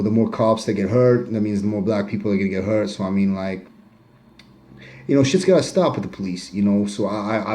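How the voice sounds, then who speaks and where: Middle-aged guy, low mellow voice, rambly and full of you knows, swearing, talking in his kitchen. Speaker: rapper interviewee. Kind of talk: casual self-recorded interview. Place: home kitchen.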